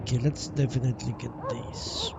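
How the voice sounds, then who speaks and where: A man's voice: medium pitch, wildly swooping pitch, moderate pace, gravelly, very noisy. A man streaming a game, thinking aloud in casual commentary, muttering to himself and hesitating as he goes. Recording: loud game music behind the voice. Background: loud video game music.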